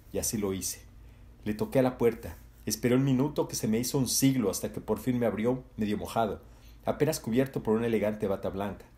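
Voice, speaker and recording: Dark-voiced man reading a novel. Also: medium pitch. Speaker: audiobook reader. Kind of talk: scripted narration.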